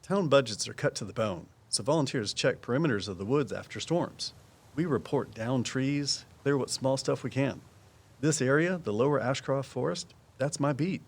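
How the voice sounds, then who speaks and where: Casting: knowledgeable male woodsman, guarded and folksy, mid-pitched, very varied intonation, audio drama. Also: animated, moderate pace, breathy edge. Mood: matter-of-fact. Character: Walt, guarded, knowledgeable woodsman and conservation volunteer. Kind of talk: full-cast audio drama dialogue.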